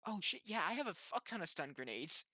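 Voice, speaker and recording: Animated, bright-voiced man at a gaming table. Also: sits high, a little fast, no echo. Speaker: tabletop game player. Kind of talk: casual, excitable game chat.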